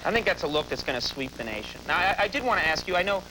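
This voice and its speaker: A man's voice: sits high, sing-song, racing. A man hosting a casual TV interview, chatty and joking and laughing often. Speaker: TV interview host. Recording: noisy TV interview recording.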